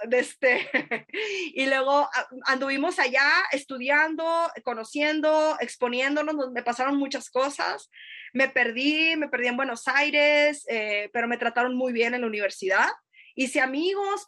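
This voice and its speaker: A woman's voice: high voice, sharp tone. A woman in her thirties from Sonora with a northern Mexican accent, telling personal stories in a casual podcast chat, hesitant with many fillers and tag questions. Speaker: podcast guest. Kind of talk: casual conversational storytelling.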